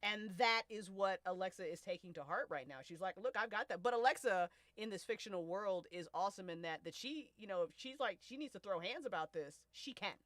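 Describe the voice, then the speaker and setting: Bright, forceful American woman, casually emphatic. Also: mid-range voice, lively intonation, a little fast, hardly any pauses, dry recording, clean studio sound. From United States. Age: late 20s to early 30s. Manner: emphatic, swearing, slangy. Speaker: podcast creator and host. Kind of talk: casual panel chat.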